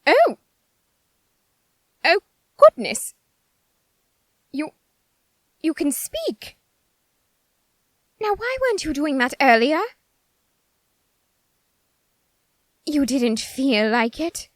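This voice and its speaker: A flamboyant supernatural being played by a woman, speaking in a high, unhurried voice that swings widely in pitch. The manner is theatrical and haughty, full of condescending sarcasm and quick exasperation, as in a close, dry ASMR character roleplay. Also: very animated, dry recording, exaggerated voice. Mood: startled.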